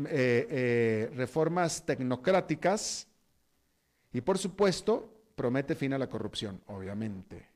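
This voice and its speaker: Male news host, slightly high voice, chatty and opinionated, lots of fillers. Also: darkish tone. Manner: conversational and opinionated, with frequent fillers. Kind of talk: news commentary. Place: Costa Rica.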